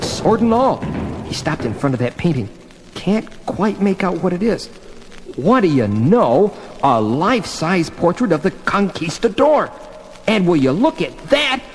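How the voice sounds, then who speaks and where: An actor playing a cheeky, quippy young student superhero in a seventies audio drama, speaking in a breezy, curious way. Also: male voice, a little high, very animated, normal speed. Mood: wry, cheeky. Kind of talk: 1970s superhero record audio drama acting. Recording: reverberant with faint background hiss.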